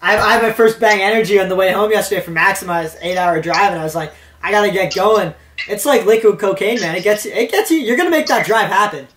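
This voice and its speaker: A young man hosting a podcast, chatting casually in a rambling, profane, energetic way. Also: high voice, quick pace. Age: young adult. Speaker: podcast host. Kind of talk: casual chat. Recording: echoey room.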